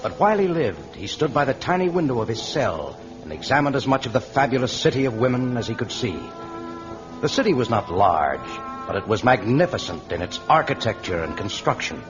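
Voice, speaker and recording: A man's voice: medium pitch, big pitch swings, brisk. A man narrating an old radio adventure serial in a dramatic, scripted announcer style. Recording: dull vintage radio recording. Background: music.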